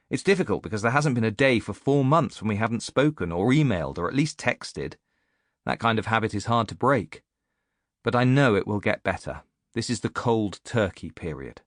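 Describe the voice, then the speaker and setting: A man's voice: lowish voice, no room sound, clean studio sound, lightly acted. A man reading an audiobook as a reflective, self-mocking woman in her late thirties, musing and confiding as in a letter. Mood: anxious resolve. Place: dry recording studio.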